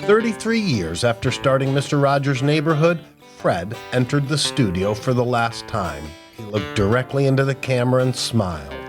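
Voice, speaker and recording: Animated man reading a storybook to children. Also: medium pitch. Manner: expressive, drawn-out emphasis on key words. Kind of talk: animated picture-book read-aloud for children. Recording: music playing behind the voice. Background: guitar music.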